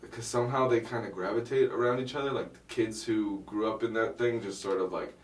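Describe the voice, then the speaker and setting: Comedian guest from Miami, young adult man, low-ish voice, relaxed and wry, recorded off-mic in a roomy space. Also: fairly quick, recorded from a distance. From Miami, United States. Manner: laid-back storytelling with dry self-deprecating humor and frequent laughs. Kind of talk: casual interview chat. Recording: echoey room, voice from off-mic.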